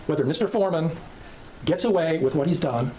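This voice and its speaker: A man, a lawyer, giving a formal and measured closing argument to a jury in court. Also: a little high, mellow, slight background noise, low-quality recording. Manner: measured and formal, listing demands.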